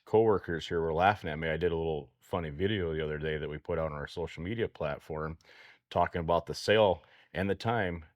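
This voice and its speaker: A man's voice: rumbling low, fast talker, no echo, clean studio sound. A man co-hosting a farm talk show, chatting folksily with repeated words. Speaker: co-host, auction company staff. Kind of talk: casual radio talk.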